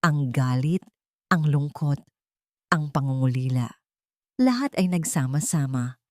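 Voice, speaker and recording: Low-voiced woman narrating drama. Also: expressive, a little slow, a little mellow, dry recording, studio quality. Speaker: storyteller, narrator. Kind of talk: scripted dramatic story narration.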